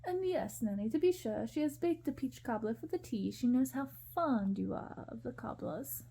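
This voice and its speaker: Storybook manservant, female reader: courteous, charming, flattering, gentle very mellow tone. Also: on the high side, moderate pace, creaky, a little hiss or hum. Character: courteous, charming male household servant.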